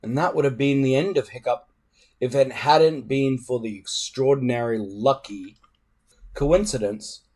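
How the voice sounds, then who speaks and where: A man reading a storybook aloud in an expressive, dramatic way. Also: mid-range voice, unhurried. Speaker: reader.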